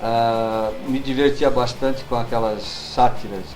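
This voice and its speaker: A middle-aged man with a Brazilian accent, reminiscing as an interview guest in an unhurried, halting way, searching for his words. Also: mid-range voice, fairly even intonation, background noise. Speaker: guest writer.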